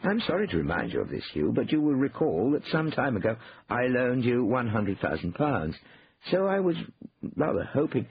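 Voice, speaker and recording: A man voicing a shrewd older gentleman in an audiobook, politely rueful and slyly composed. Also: medium pitch, sing-song, normal speed, gravelly, muffled recording, a little hiss or hum, pitched above the performer's own voice.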